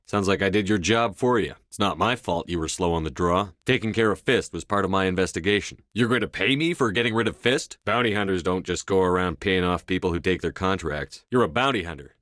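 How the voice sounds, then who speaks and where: A resolute space commander speaks low. His delivery is measured, terse and used to giving orders, as scripted video game dialogue. The recording is very dry, with no room sound. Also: fairly bright tone, no echo. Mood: hard, threatening. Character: tough, resolute male military space commander.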